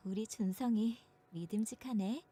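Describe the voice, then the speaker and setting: An actress playing a gentle, affectionate young woman in a visual novel. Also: medium pitch, very fast, slightly rough, natural voice. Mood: soft, fond. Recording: game audio with music underneath.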